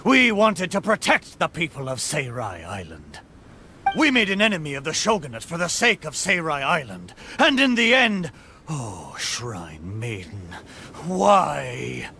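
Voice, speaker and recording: An actor voicing the boisterous, emotional spirit of an old ship in a video game, with theatrical speech. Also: male voice, fairly high pitch, huge pitch swings, unhurried, gravelly, sharp tone, a little hiss or hum, heavily acted. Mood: horrified, anguished. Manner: theatrical, exclamatory pirate talk. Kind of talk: dramatic video game voice acting.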